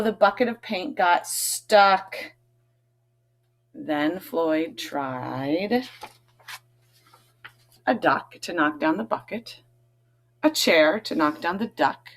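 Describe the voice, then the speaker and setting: A woman reading a picture book aloud to children, stressing key words expressively and adding chatty, questioning asides. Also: mid-range voice. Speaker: read-aloud host, teacher reading to children.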